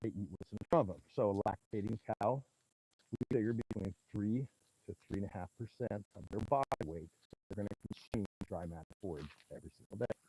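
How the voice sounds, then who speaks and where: A man with a booming voice, a rancher, casually explaining grazing math on a livestream from his pasture. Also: brisk, dark tone, slight background noise, poor audio. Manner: casual, folksy, explains step by step. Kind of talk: livestream teaching talk, chatting with viewers. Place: outdoors in a pasture among cattle. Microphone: small mic clipped to his hat.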